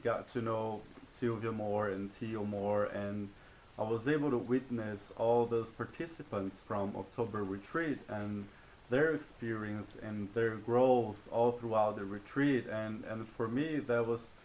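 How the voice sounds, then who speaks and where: A man's voice: on the low side, slight background noise, poor audio. A man from Brazil, a retreat chef giving a testimonial in a hesitant way with many fillers and restarts. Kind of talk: testimonial interview.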